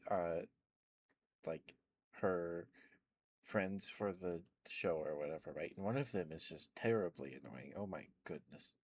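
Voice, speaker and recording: A man with a very low voice talks slowly and unhurriedly, rambling with lots of fillers. He sits close to a fuzzy-covered mic, and the sound is dry and intimate. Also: darkish tone, no echo. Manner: rambling, hesitant, many fillers. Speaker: ASMR streamer. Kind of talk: soft rambling ASMR chat.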